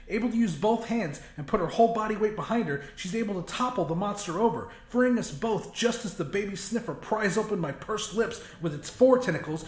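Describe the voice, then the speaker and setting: A man's voice: high voice, a bit bright. A man narrating a first-person horror audiobook in an intense, emphatic, dramatic style. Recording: roomy echo, music behind.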